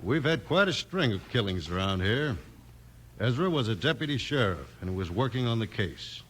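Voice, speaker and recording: A low-voiced frontier doctor. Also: male voice, big pitch swings, normal speed, fairly bright tone. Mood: matter-of-fact. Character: matter-of-fact, obliging frontier doctor. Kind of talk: 1950s radio western acting. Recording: faintly noisy old radio recording.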